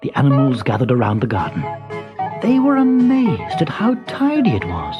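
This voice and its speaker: A storyteller giving an expressive narration of a children's storybook. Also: mid-range voice, moderate pace, highs cut off, over music.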